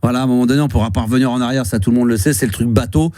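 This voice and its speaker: A man's voice: mid-range voice, rapid-fire, dark tone, no room sound, studio quality. A man in his fifties hosting a radio call-in show, chatting casually and rambling with many fillers and restarts. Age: 50s. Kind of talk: casual radio chat with a caller. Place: radio studio.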